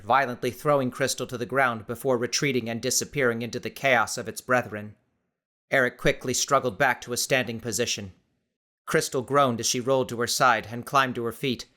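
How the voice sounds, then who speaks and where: A man reading dramatic scripted audiobook narration in a measured, suspenseful manner. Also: medium pitch, fairly even intonation, bright, forceful tone.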